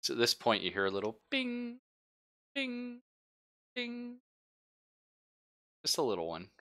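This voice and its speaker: A man narrating casually as game master of a tabletop game, in an informal, halting way with pauses. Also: fairly high pitch, huge pitch swings, pushed and bright.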